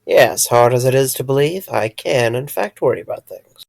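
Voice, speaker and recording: A man's voice: mid-range voice, expressive, normal speed, creaky, no room sound. A man playing a caring, dryly wry friend in an audio drama, earnest and a little hesitant. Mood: concerned.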